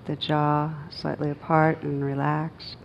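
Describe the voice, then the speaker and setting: A woman with a low voice leads a guided meditation. Her delivery is gentle and very even, with little rise or fall and long, unhurried pauses between phrases. A faint noise and rumble sit behind her. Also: creaky, highs cut off. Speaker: meditation teacher.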